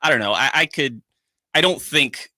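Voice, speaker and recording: A man co-hosting sports talk radio, measured and analytical, often saying "like" as he builds his point. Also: mid-range voice, animated, sharp tone, no room sound. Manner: measured, analytical, uses fillers like "like".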